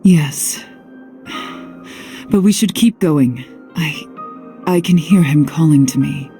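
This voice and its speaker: A guarded game witch haunted by lost love. Her low, dark voice moves slowly and softly with a melancholy weight, over faint music. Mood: wistful. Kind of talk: dramatic video game voice acting.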